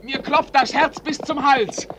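An actor in a German radio play voicing an earnest, lovesick young prince, pleading in a formal, courtly manner. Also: male voice, very high voice, unhurried, noisy background. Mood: breathless, eager.

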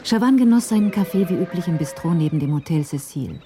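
Low, mellow woman narrator. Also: lively intonation, quick pace, slight background noise. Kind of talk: scripted radio drama narration.

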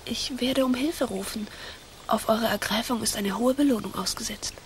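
A woman's voice: a little high, normal speed, a little hiss or hum, close to an everyday voice. An actress playing a proud, spirited young noblewoman in a radio play, speaking formally and dramatically. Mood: urgent, hushed.

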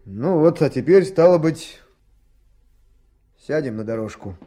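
A man's voice: medium pitch, warm tone. An actor playing a kindly, practical crew foreman in a radio play, colloquial and fatherly. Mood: warm, solemn. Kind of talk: 1970s radio play acting.

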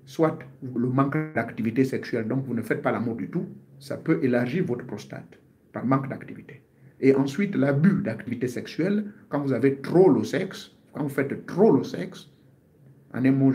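A man's voice: medium pitch, moderate pace, mellow. A man in his fifties, a martial artist, giving health advice to his viewers in an earnest, informal monologue, often repeating himself and searching for words. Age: 50s.

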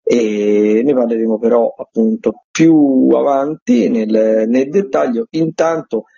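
A very husky man gives an informal rundown of events on the radio. His tone is mellow and he often hesitates or repeats a phrase. The audio is dry and slightly dull. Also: mid-range voice, slow pace, dry recording. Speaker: theatre critic giving a weekly events agenda.

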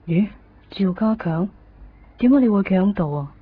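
A woman's voice: mid-range voice, animated, normal speed, a little hiss or hum, close to an everyday voice. An actress with a Hong Kong accent playing a wistful, devoted young woman searching for someone in a radio drama. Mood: plain, hesitant. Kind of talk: radio drama first-person narration and dialogue. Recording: dull 1990s radio recording, faint music.

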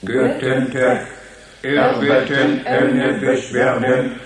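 A man's voice: medium pitch, flat delivery. A man playing a servile android in a science fiction radio play, speaking in a stilted, artificial way. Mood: stiff, polite. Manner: stilted, drawn-out, artificial delivery. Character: servile android reciting stilted rhymed greetings. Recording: very echoey, distant, busy background.

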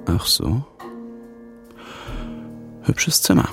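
An actor playing a suave, laconic lover with a dry manner in a German radio crime drama. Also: male voice, extremely slow, faint music behind.

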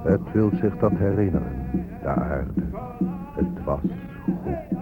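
An actor playing a grave Native elder who speaks deliberately, like a solemn refrain, in a poetic radio play. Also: male voice, low-pitched. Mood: grave, reverent. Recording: narrow phone-like audio with music behind.

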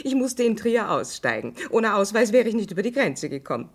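A composed married woman in a radio crime drama. Her voice is husky and swings widely in pitch as she talks politely and expressively. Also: sing-song, brisk. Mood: composed, explaining. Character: married woman, talkative, composed, protesting innocence. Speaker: actor.

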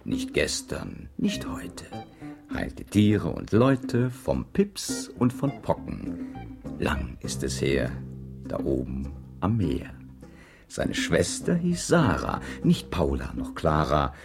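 An actor playing a storyteller who recites playful rhyming verse in a theatrical, measured way for a children's radio play. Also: male voice, extremely low pitch, wildly swooping pitch, gravelly, full character voice. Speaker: narrator. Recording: radio play with music underneath.

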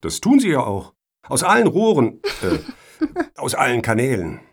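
An actor in a satirical radio play as a brusque, suspicious official who grills applicants pointedly. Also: male voice, mid-range voice, huge pitch swings, moderate pace, husky, full character voice.

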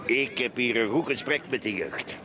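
A man's voice: medium pitch, normal speed, close to an everyday voice. An actor with a Flemish accent playing a bitter, boastful, drunken middle-aged man in a radio play. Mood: grumbling, boozy. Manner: rambling, repetitive, cackling, tipsy. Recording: radio play with clear outdoor background noise.

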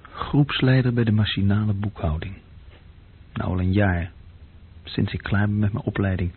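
A man playing a young, self-critical office group leader musing drily in a radio play, with a husky voice. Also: lowish voice, fairly even intonation, normal speed. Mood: sardonic, weary. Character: young male bookkeeping group leader, self-critical, sardonic, restless dreamer. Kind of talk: radio play inner monologue. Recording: muffled phone-like old radio recording.

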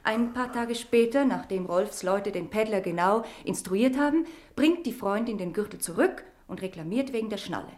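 A woman's voice: medium pitch, expressive, normal speed, few pauses, a little mellow, natural voice. An actress playing a frightened young woman with an addiction, confessing earnestly in in a radio crime drama. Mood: confessional, tense.

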